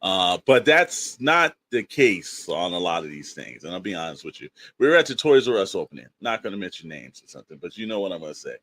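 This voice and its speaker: A man's voice: low-pitched, sing-song, a little fast, hardly any pauses, fairly bright tone, no room sound. A man co-hosting a podcast, talking in a casual, slangy, emphatic storyteller's way that rambles along. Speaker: podcast co-host.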